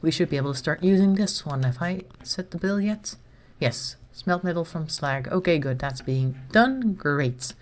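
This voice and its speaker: A woman's voice: low voice, expressive, moderate pace. A woman streamer, slightly congested from a cold, chatting casually to herself and her viewers as she plays a game. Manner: chatty, talks to herself while managing the colony.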